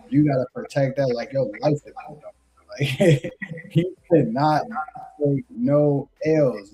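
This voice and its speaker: A man's voice: medium pitch, warm tone, poor audio. A man in his thirties hosting a live trading show, coaching viewers in a casual, slangy way. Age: 30s.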